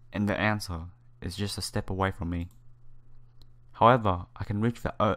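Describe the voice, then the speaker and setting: A man doing a let's play, reading visual novel text aloud in a halting way and sometimes stumbling over words. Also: on the low side. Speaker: let's player. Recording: game music behind the voice. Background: visual novel background music.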